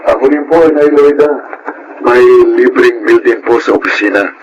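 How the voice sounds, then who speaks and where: An actor playing a polite, considerate young son in a Filipino radio drama, respectful and earnest. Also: male voice, mid-range voice, moderate pace, muffled recording, a little hiss or hum, close to an everyday voice.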